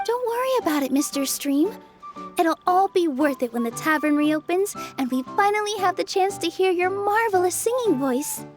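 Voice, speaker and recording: Casting: tiny eager fairy sidekick girl, extremely high and chirpy, lively swoops, fantasy game. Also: moderate pace, soft background music. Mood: encouraging. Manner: chirpy, encouraging. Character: tiny cheerful childlike fairy companion girl, eager to help. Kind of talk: fantasy game character acting.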